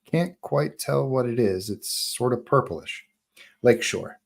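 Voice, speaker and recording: A man's voice: mid-range voice, expressive, a little slow, gravelly. A man narrating stage directions at a live screenplay table read.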